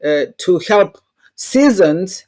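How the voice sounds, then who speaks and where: A woman's voice: fairly low pitch, expressive, slow, darkish tone. A woman with a Rwandan accent giving conversational interview answers and anecdotes in an earnest, instructive way, with non-native phrasing. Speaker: interview guest, diversity consultant.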